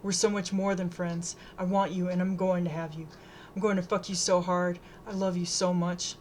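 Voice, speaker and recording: A woman's voice: fairly low pitch, level tone, normal speed, darkish tone, a little hiss or hum, close to an everyday voice. A woman voicing a menacing, entitled older teenage boy in an audiobook, insistent and self-assured. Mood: cold, insistent. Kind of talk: audiobook character dialogue.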